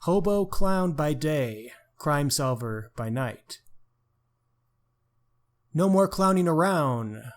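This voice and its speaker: A man's voice: a little high, expressive, takes it slowly, pauses often. A man co-hosting a podcast, talking casually about crime books. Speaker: podcast co-host.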